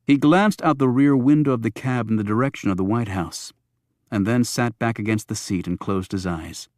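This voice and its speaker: A man's voice: medium pitch, normal speed, darkish tone, dry recording, clean studio sound. A man narrating a thriller audiobook from a script.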